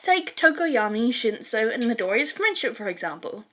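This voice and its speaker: A woman's voice: on the high side, very animated, normal speed, fairly bright tone. A young adult woman speaking informally as she introduces and reads her own fan fiction. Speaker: podfic author and reader. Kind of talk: casual spoken intro and fan fiction narration.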